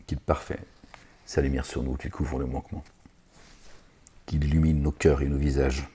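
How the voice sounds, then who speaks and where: A man's voice: very deep voice, flat delivery, normal speed, dark tone, husky. A man reading scripture aloud and commenting on it in a digressive way, with sarcastic asides and hesitant fillers. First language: not Arabic. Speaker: religious lecturer reading scripture.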